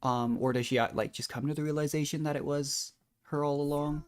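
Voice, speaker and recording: A woman's voice: low-pitched, fairly even intonation, fairly quick. A woman doing casual livestream gameplay commentary, musing and thinking aloud as she goes. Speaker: game streamer.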